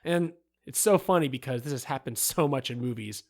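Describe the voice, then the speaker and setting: A man voicing an animated film director who recalls events with emphatic, dramatic pauses, as in an interview. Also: medium pitch, gravelly. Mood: animated, rueful. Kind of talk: acted reading of interview quotes.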